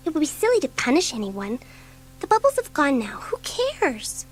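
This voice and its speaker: A woman voicing a restless, outspoken schoolgirl in a dramatised children's audiobook, youthful and expressive. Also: high voice, normal speed, pitched above the performer's own voice. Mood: defiant.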